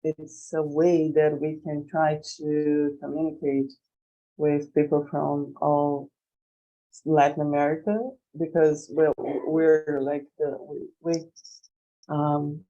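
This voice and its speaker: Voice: mid-range voice, completely flat delivery, slow pace, dark tone, lo-fi sound. Hesitant speaker with a Brazilian accent. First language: Portuguese. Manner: hesitant, searching for words. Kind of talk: informal academic discussion.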